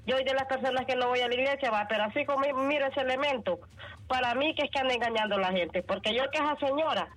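Phone caller, high voice, earnest and preachy. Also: male voice, fast, a bit bright, rough recording. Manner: earnest, moralizing, rambling. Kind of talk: call-in opinion.

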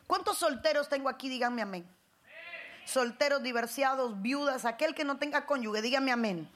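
A middle-aged woman from Cuba with a Cuban Spanish accent, preaching a sermon as pastor with call-and-response and emphatic high bursts. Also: normal speed, a bit bright, a little hiss or hum.

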